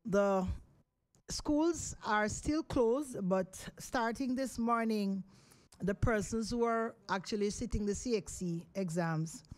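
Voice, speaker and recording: A woman's voice: medium pitch, a little mellow. A middle-aged woman with a Jamaican accent hosting a live radio talk show from a studio, talking in a rambling, chatty way with many fillers. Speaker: radio host. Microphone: studio microphone.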